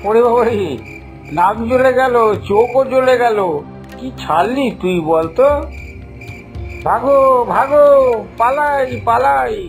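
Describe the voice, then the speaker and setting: A man's voice: very high voice, unhurried, vocal fry, pauses often, soft background music, heavily acted, low-quality recording. A man voicing an exasperated, fussy village father in a cartoon, with exaggerated comic delivery. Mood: disgusted alarm. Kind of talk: exaggerated Bengali cartoon voice acting.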